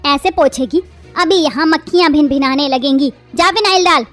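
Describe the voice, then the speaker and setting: A woman voicing a harsh middle-aged mother-in-law in an animated family drama, hurling shrill insults. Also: normal speed, faint music behind. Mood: furious. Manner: shrill, insulting, shouting tirades.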